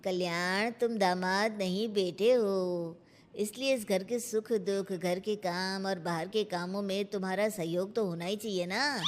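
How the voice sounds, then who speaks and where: Older male household head acted by a woman narrator, authoritative, firm, medium pitch, storybook style. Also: fairly even intonation, unhurried, in a large hall, a little hiss or hum, close to an everyday voice. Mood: firm, demanding.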